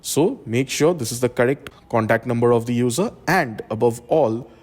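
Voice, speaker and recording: A man presenting an instructional software tutorial, explaining things step by step. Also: mid-range voice, moderate pace.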